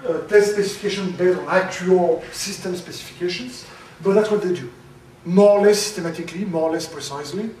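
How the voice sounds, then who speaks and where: A man giving a conference talk, loud and halting, with many fillers. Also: high voice, slight background noise. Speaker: conference speaker. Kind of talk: academic presentation. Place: lecture room. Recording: very echoey, from far off.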